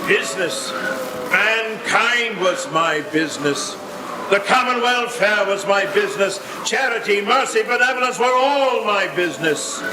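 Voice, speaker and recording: A man's voice: sits high, a little slow. A man playing the mournful chained ghost of a dead businessman in a live radio play, with a solemn, theatrical delivery. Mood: anguished, impassioned. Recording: roomy live stage with clear noise.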